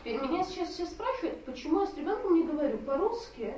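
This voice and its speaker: A woman in the audience speaks fast and a bit haltingly, in a high voice, as she searches for the right words. She is far from the microphone in a very echoey room, and the recording sounds muffled, with faint noise in the background. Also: sing-song, a little mellow. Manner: rapid, unsure, searching for words. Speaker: mother in the audience. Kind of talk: audience discussion.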